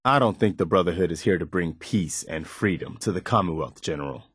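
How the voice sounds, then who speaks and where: An actor playing an earnest, dutiful militia soldier in a post-apocalyptic video game, speaking in a plain, folksy way. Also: male voice, low voice, lively intonation, fairly quick, a bit bright, husky, no room sound, clean studio sound, full character voice. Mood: earnest, wary. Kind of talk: video game companion voice lines.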